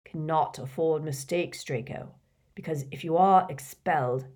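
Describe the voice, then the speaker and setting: A cold, menacing male schoolmaster from a storybook, put on by a woman reader. He speaks low and quietly, slow and clipped, every word controlled.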